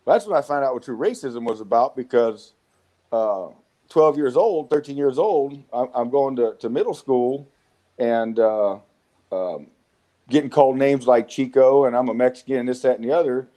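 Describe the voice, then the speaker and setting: A man in his forties with a Southwest American accent, telling rough, profane stories of his youth as an interview guest. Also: mid-range voice, lively intonation, moderate pace, dark tone. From United States. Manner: rough-spoken, swearing, frequent restarts. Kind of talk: casual storytelling interview.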